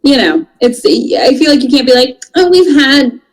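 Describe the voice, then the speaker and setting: A woman speaks as a podcast panelist in a fairly high voice. Her talk is casual and playful, and she often breaks off a thought to start it another way. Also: big pitch swings, normal speed, rough recording. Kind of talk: casual chat.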